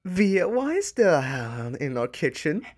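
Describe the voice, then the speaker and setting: A man voicing a flustered, gentle, soft-hearted male demon in a cartoon comic dub. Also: a little high, big pitch swings, normal speed, husky. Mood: startled, apologetic. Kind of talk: fan comic dub acting.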